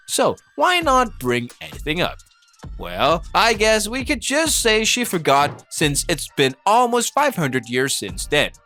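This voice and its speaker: A man delivers scripted commentary in a fairly high, forceful voice. His intonation swings widely as he leans into rhetorical questions with playful disbelief. It sounds like narration for an online video essay. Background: background music.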